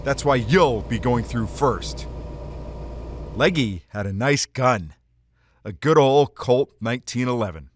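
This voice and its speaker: A man's voice: medium pitch, lively intonation, slow, no echo. A man playing a cocky, wry, hardboiled hero in a detective audio drama. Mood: commanding. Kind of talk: first-person detective drama narration.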